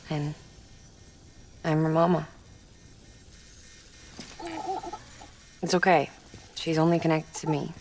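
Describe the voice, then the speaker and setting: A woman in her thirties playing a weary, kind scientist mother in a video game, speaking in a soft, measured, matter-of-fact way. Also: low-pitched, poor audio. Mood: tender, resigned. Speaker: scientist and engineer. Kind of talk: naturalistic cinematic video game acting.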